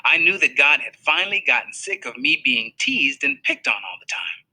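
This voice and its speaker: A man reading a children's novel aloud in an expressive storytelling manner. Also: mid-range voice, normal speed, low-quality recording. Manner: expressive storytelling, voices for characters. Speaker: reader.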